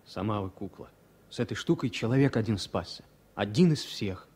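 A man's voice: fairly low pitch, animated, normal speed, darkish tone, slightly rough. An actor playing a nervous, fussy, ailing man as an airline passenger in a 1970s Soviet radio play, whining and insistent. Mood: fussy, pleading. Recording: noisy old radio play recording.

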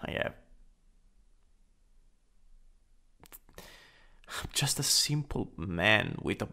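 A man playing a shy, lovestruck coworker in an intimate boyfriend ASMR roleplay, speaking softly and hesitantly, at times nearly whispering. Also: fairly low pitch, expressive, exaggerated voice. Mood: self-doubting, tender. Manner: hesitant, halting, breathy drops into near-whisper. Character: shy lovestruck male coworker confessing to his crush.

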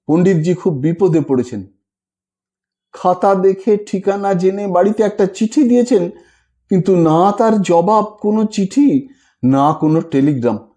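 Man voicing a slow, sorrowful renunciate woman. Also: high voice, mellow, exaggerated voice. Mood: troubled recounting. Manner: confessional, halting, weary reflective telling. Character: remorseful renunciate woman, gentle, resigned, sorrowful.

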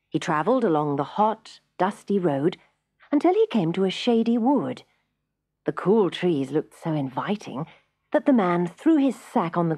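Lively, slightly husky woman storyteller. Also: on the low side. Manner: measured storytelling with dramatic emphasis. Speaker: storybook narrator. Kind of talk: expressive scripted children's story narration.

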